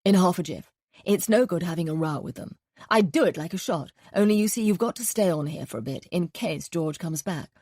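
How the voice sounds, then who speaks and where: A woman reading an audiobook, voicing a cordial, airy, well-spoken gentleman. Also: on the low side, lively intonation, fairly quick, no echo, clean studio sound. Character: cordial, breezy upper-class gentleman.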